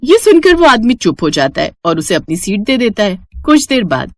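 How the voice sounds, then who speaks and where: A woman narrating a scripted animated moral story. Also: medium pitch, normal speed, no echo.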